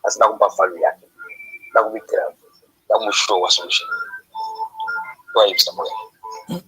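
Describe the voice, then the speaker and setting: A man's voice: fairly low pitch, huge pitch swings, brisk, pushed and bright, slightly rough, soft background music, rough recording. A man from Zimbabwe taking part in a forum, making emphatic, preacher-like declarations over a call. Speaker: forum participant.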